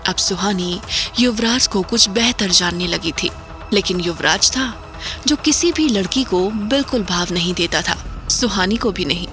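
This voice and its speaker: A woman giving steady scripted narration for an audio drama. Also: medium pitch, measured pace, faint music behind.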